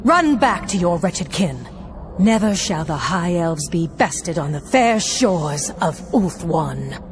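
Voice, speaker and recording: A haughty high elf noblewoman in a fantasy game cutscene. Her delivery is slow and formal, sweeping up and down with disdain. Music is clearly heard behind her. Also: sing-song. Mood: cold scorn. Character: proud, scornful high elf noble warrior woman. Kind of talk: dramatic fantasy game acting.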